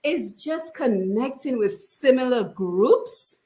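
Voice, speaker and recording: A woman's voice: a little high, expressive, slow pace, mellow. A woman hosting a radio panel discussion in a conversational way, often checking in with "right?". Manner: conversational, frequent "right?" tags. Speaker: radio show host. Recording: roomy echo.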